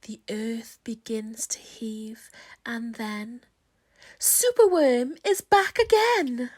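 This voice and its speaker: A woman reading a rhyming children's storybook aloud in an expressive, theatrical way with strong emphasis. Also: on the high side, slow. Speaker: storybook reader.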